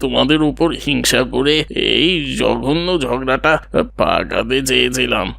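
A man playing a proud, quarrelsome older neighbour in a dramatic cartoon, with a gravelly voice. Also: medium pitch, measured pace, bright, forceful tone, no room sound, heavily acted. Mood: remorseful.

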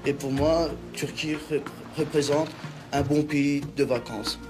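A man from Belgium, giving a relaxed documentary interview with small hesitations. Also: on the high side, a little slow. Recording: music playing under the voice.

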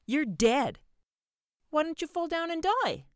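A woman voicing a belligerent seven-year-old boy who yells his demands in a storybook reading. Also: sits high, very animated, measured pace, no room sound, clean studio sound, voice pushed up. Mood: belligerent, shrill. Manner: yelling, demanding.

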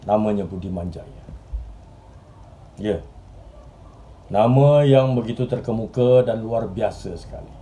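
Dark-voiced, earnest journalist, acted by a man. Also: on the low side, natural voice. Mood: earnest, admiring. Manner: deliberate, literary, dramatic phrasing with pauses. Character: earnest, restless male journalist reminiscing about his admired mentor. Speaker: stage actor. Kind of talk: theatrical monologue in Malay drama.

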